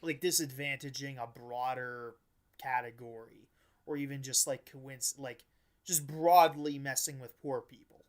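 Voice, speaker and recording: A man's voice: medium pitch, steady tone, measured pace. A man co-hosting a podcast, giving casual analysis in a choppy, hesitant way with many restarted sentences. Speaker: podcast co-host.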